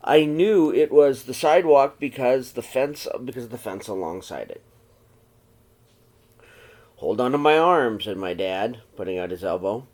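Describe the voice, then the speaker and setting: A man reading a children's picture book aloud like a teacher, with expressive, dramatized storytelling delivery. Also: medium pitch, moderate pace, few pauses. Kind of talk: read-aloud storybook narration.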